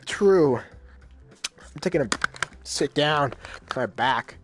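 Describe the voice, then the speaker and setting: A man's voice: fairly high pitch, expressive, extremely slow, over music. A man playing a visual novel, reading the dialogue aloud in his own voice and rambling in casual slang between lines. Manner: casual slang, many fillers. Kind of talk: let's play reading and commentary.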